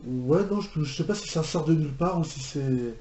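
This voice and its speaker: A man giving casual reaction commentary as a video host, halting and often restarting his phrases. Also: a little high, expressive, warm tone. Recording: roomy home recording with background noise.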